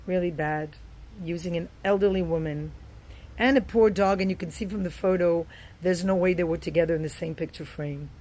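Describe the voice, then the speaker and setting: A woman's voice: on the low side, fairly quick, darkish tone, poor audio. A woman talking in an opinion vlog, emphatic and rambling, repeating words for stress. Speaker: vlogger.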